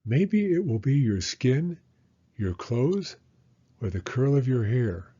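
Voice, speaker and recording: A man reading a children's picture book aloud as a story time host, with expressive, unhurried narration. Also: mid-range voice, breathy edge, muffled recording.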